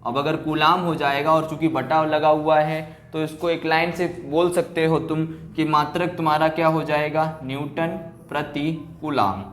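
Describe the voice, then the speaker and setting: A man's voice: on the high side, little pitch movement, moderate pace, hardly any pauses, fairly bright tone, a little hiss or hum. A man teaching a physics class at a board, explaining and dictating, repeating key phrases and asking check questions. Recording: echoey room.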